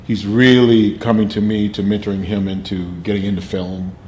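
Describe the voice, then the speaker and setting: An older man, an actor and drama teacher, telling animated stories from his life in an interview with a booming voice. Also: moderate pace. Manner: animated storyteller, laughs often. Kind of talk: interview storytelling. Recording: roomy with faint noise.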